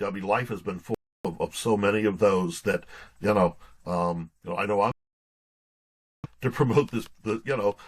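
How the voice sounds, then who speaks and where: A man with a fairly low voice talks as a guest in a casual interview. He speaks reflectively and haltingly, feeling his way through his thoughts. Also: breathy edge. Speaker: guest author being interviewed.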